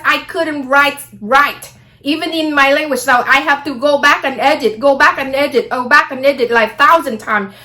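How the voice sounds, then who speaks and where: A woman's voice: sits high, sharp tone. A woman, an English speaker, talking casually about astrology in a rambling way and often checking in with her listeners. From Vietnam. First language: Vietnamese. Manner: rambling, frequent "okay?" and "blah, blah" asides. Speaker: astrology vlogger.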